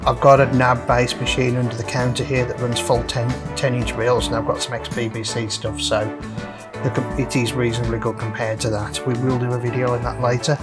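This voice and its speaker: A man's voice: mid-range voice, little pitch movement, a little fast, music in the background. A man hosting a repair video, casually explaining each step as he works through a hands-on demonstration. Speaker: host of a vintage audio repair video.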